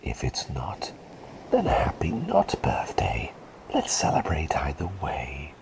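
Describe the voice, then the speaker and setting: A man voicing a gentle, whimsical plague doctor caretaker in an ASMR roleplay, whispering softly and breathily in halting, reassuring repeated phrases. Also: a bass voice, huge pitch swings, exaggerated voice. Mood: whimsical, welcoming.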